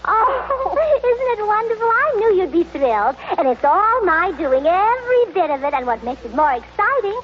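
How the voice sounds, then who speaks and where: Muffled hissy old radio, ditzy hostess, very high pitch, fluttery, effusive. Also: female voice, lively intonation, normal speed, few pauses. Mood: gushing. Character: scatterbrained, gushing, meddling society hostess. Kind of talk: 1930s-40s radio comedy acting.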